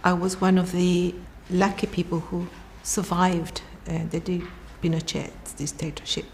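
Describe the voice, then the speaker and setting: A woman's voice: on the low side, flat delivery, unhurried, darkish tone, a little hiss or hum. An older woman with a Chilean accent, a native Spanish speaker, telling her refugee story as an interviewee. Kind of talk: personal testimony.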